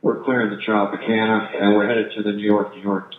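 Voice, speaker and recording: A man's voice: on the low side, little pitch movement, brisk. A man on an emergency team radioing in with clipped, procedural reports over a police radio. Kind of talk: live emergency radio traffic. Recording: tinny, echoey police scanner radio.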